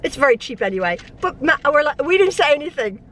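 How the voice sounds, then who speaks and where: A woman's voice: high voice, big pitch swings, fast talker, a bit bright. A middle-aged woman chatting casually to a phone camera in a parked car, rambling with frequent restarts and laughs. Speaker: vlogger and mother. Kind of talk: casual chat. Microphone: phone held at arm's length.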